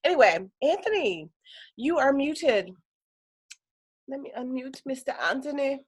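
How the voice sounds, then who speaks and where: A woman teaching an energy healing class on a video call, chatty and animated, with lots of fillers. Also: high-pitched, a little slow, slight background noise.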